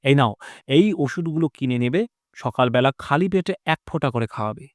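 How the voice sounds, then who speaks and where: A man voicing a calm, reassuring doctor giving instructions in an audio story. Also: medium pitch, normal speed, no echo, clean studio sound, close to an everyday voice. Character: reassuring male doctor advising a patient's son.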